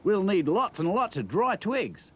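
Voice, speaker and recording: A man's voice: sits high, big pitch swings, measured pace, breathy edge, pitched above the performer's own voice, exaggerated voice. A man voicing a bouncy, cheery male kangaroo toy with an Australian accent, hearty and exclamatory. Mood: chirpy. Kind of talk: storybook character voice.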